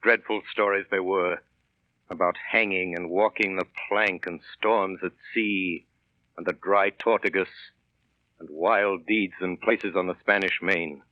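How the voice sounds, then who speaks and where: An actor playing a man who gravely recalls his boyhood as narrator of a 1930s radio drama, measured and dramatic. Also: deep voice, steady tone, dry recording. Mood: grave, haunted. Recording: muffled 1930s radio recording with music.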